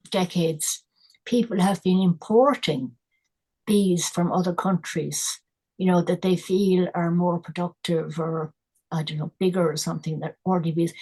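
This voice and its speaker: An older Irish woman, a guest environmentalist, talking in a casual, thoughtful way with many pauses and restarts. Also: fairly low pitch, a little mellow. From Ireland. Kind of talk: casual conversational interview.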